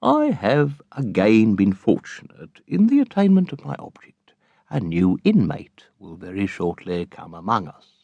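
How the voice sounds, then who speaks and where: A man voicing a pompous, sanctimonious middle-aged father in an audiobook, speaking slowly and distinctly in an unctuous, moralising way. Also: on the low side, huge pitch swings, vocal fry, warm tone, no echo, dull audio, full character voice. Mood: self-satisfied.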